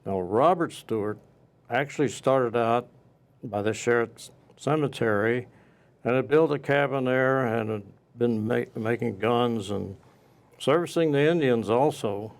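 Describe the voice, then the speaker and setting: A man speaking as a guest local historian in an interview, recounting the past off the cuff in a halting way with pauses mid-sentence. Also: mid-range voice.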